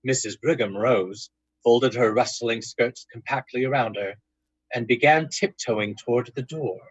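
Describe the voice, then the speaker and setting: A man narrating a ghost story in old-time radio style, with deliberate, suspenseful storytelling. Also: mid-range voice, slightly rough, no echo, dull audio.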